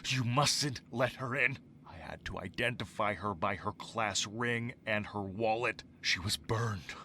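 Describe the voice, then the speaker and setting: A man acts out an anguished husband in a vintage radio drama. His forceful, tense voice implores urgently, with light noise and ambience behind it. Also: fairly low pitch, measured pace. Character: anguished husband and father, urgently pleading for reason. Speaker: actor in an audio drama. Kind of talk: old-time radio drama acting.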